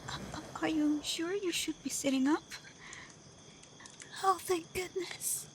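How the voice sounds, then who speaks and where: A tender young fox-spirit woman, played by a female voice actor, speaks slowly and intimately to the listener in an ASMR roleplay. Her delivery is breathy and emotional, often falling to a whisper. Faint night ambience with crickets sits behind her. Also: very high-pitched, slight background noise. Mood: astonished, relieved. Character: young half-blood kitsune woman, tender, devoted and vulnerable.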